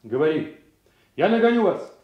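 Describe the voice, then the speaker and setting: A dignified duke at the head of an army speaks in measured, commanding declamation. The old radio drama recording is markedly echoey. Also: male voice, on the high side, sing-song, muffled recording. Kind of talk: classical stage tragedy radio acting.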